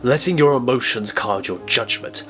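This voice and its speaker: A man playing a stern, older scientist mentor who speaks formally and deliberately, urging caution in an audio drama. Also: medium pitch, pushed and bright, soft background music, pitched below the performer's own voice, natural voice.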